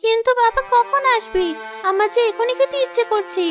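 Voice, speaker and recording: A woman's voice: very high voice, normal speed, over music. A woman voicing a poor, innocent young village girl in a cartoon, with a childlike, plaintive delivery. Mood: pleading. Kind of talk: Bengali moral-story cartoon dubbing.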